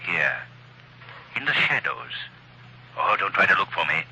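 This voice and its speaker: An invisible crime-fighter interrogates with measured, menacing calm. His voice is low and husky but bright on top. It sounds like a vintage radio thriller. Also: heavily acted, poor audio. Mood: commanding. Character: mysterious invisible male crime-fighter, cool and commanding. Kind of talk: 1940s radio acting.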